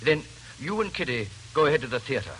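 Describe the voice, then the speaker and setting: An actor playing a brisk, authoritative police inspector in 1940s radio detective drama, commanding and clipped. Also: male voice, medium pitch, sing-song, a bit bright, a little hiss or hum, poor audio. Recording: old radio broadcast, music behind.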